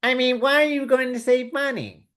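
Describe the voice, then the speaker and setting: A man voicing his own whiny, nagging inner critic in a high, complaining tone. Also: fairly even intonation, normal speed, fairly bright tone. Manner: whining, scolding about money.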